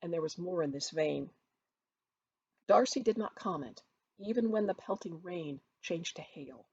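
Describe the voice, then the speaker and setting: A woman narrates a novel as its author, in an expressive storytelling style. Her voice is slightly low, with a husky edge to it. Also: a little slow, muffled recording. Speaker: author reading from own book.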